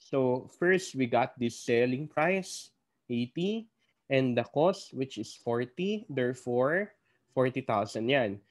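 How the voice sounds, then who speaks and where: A man's voice: mid-range voice, lively intonation, slow pace. A man with a Filipino accent lecturing as an instructor, working step by step and answering his own questions. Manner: didactic, asks questions and answers them himself. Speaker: accounting instructor. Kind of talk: classroom lecture, step-by-step problem walkthrough.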